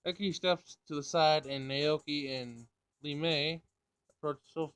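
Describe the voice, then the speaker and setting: A man's voice: on the high side, steady tone, measured pace, a bit bright, clean tone. A man reading visual novel narration aloud, sometimes stumbling over the text and rereading it.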